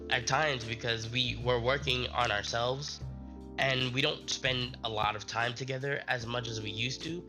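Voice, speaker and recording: A young adult man, sick with a strained voice, chatting casually on a live stream in a halting, rambling way. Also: medium pitch, fairly even intonation, music in the background.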